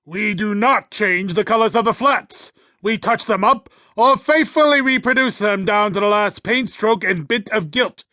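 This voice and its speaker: Male actor as stern scenic manager, high-pitched, sharp, emphatic, pedantic, audiobook drama. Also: moderate pace, no room sound, studio quality. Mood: indignant. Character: stern, fussy scenic manager, a grown man. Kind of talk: full-cast audiobook acting.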